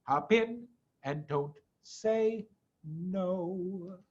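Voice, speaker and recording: A man's voice: high-pitched, extremely slow, a little mellow. A man voicing a big, hungry, boastful pelican in a storybook, with a theatrical singsong delivery. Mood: proud, singsong. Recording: dull, muffled sound.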